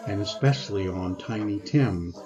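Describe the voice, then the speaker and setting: A man narrating a scripted dramatic story in a measured storytelling manner. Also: lowish voice, close to an everyday voice. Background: music.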